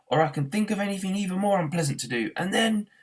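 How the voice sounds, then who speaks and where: A man voicing an outraged, panicking man in a storybook, shouting with indignant spluttering. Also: sits high, lively intonation, fast talker, breathy edge, voice pushed up. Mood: raging. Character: outraged, panicking man yelling as his house is demolished. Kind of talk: storybook character voice.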